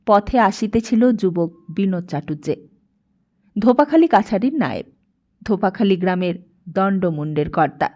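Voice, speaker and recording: A woman narrates a literary story a script in a measured, expressive way. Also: medium pitch. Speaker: audiobook narrator.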